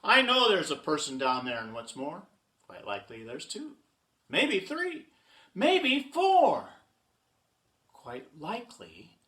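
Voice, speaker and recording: A woman voicing a big, gentle, protective elephant in a children's story, speaking with earnest, emphatic pleading. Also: medium pitch, sing-song, moderate pace, reverberant.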